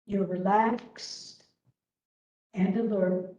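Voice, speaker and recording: A woman leading a guided meditation as instructor, gently and unhurriedly, leaving long pauses between phrases. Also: mid-range voice, steady tone. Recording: roomy, distant webinar audio.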